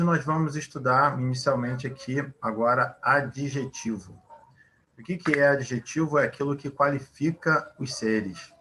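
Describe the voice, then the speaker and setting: A man's voice: mid-range voice, bright, forceful tone, slight background noise, poor audio. A man teaching a Portuguese grammar lesson, explaining at length, asking rhetorical questions and using many fillers.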